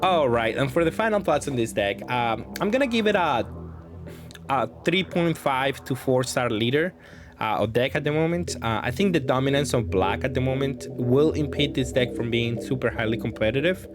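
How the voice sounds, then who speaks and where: Male gameplay commentator, mid-pitched, casual and hesitant, thinking out loud over music. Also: lively intonation, moderate pace. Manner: thinking aloud, hesitant, self-correcting. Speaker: gaming content creator commentating matches.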